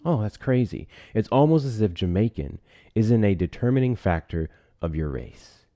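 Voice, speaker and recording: A man's voice: lowish voice, expressive, moderate pace, dark tone, no echo, studio quality. A man hosting a live debate show, questioning a caller in a sarcastic, pressing way.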